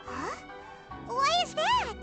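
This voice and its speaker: Game fairy companion, female: childlike squeak, sky-high, excitable, very animated. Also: takes it slowly, loud music behind the voice, heavily acted. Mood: curious. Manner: excitable, exclamatory. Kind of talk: video game character acting.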